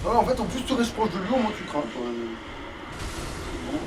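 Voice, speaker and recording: A man with a high-pitched voice talks casually through his video game play. His speech is slangy and peppered with swearing. He sits away from the mic, with clear noise in the recording. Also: lively intonation, normal speed. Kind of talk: casual let's play chat while playing. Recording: distant mic, noisy background.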